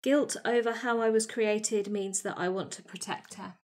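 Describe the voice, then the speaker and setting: A woman with a medium-pitched voice talks through a roleplaying character. Her delivery is casual and tentative, halting as she forms ideas. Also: fairly even intonation, a little mellow. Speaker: tabletop roleplay player. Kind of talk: casual chat planning a character.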